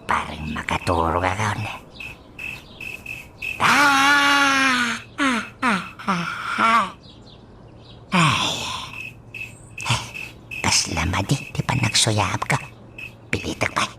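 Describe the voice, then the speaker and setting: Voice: mid-range voice, wildly swooping pitch, drawn-out words, long pauses, heavily acted. An actor in an Ilocano radio drama playing a restless, lovesick person lying awake and muttering with sighs and moans, over a noisy track with clicks and insects. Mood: restless, exasperated. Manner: sighing, moaning, exclamatory muttering.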